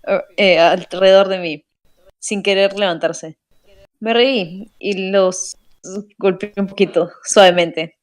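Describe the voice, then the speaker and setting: A woman's voice: medium pitch, fairly even intonation, measured pace, no echo. Giggly woman reading aloud playfully. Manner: giggly, playful, breaking off mid-sentence. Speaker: fan fiction reader. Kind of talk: casual comedic read-aloud with a friend.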